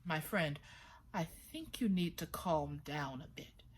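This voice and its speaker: A woman voicing a calm, puzzled man carrying cloth, speaking slowly and soothingly with some doubt. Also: low voice, a little hiss or hum.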